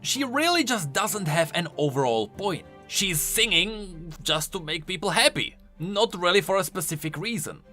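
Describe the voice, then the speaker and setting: Animated, bright-voiced man explaining game lore. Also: sits high, moderate pace, hardly any pauses, soft background music. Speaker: video creator, game lore commentator. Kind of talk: scripted explanatory commentary.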